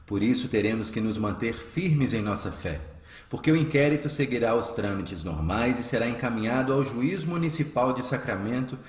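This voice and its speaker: A man playing a calm, devout, persecuted healer and dutiful son in a radio drama, earnest and measured. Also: mid-range voice, close to an everyday voice. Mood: grave, explaining. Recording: roomy echo, faint music behind.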